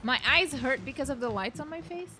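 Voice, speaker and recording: A woman's voice: high-pitched, animated, brisk, crowd noise. A young adult woman giving casual, rambling let's play commentary as a game streamer. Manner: informal, rambling, frequent "like".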